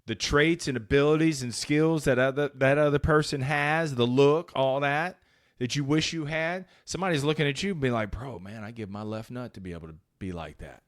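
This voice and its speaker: A man's voice: medium pitch, fairly quick. Blunt, punchy male sales coach. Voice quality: powerful voice. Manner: blunt, profane, emphatic, punchy short phrases. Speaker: podcast host and sales coach. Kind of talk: motivational solo talk.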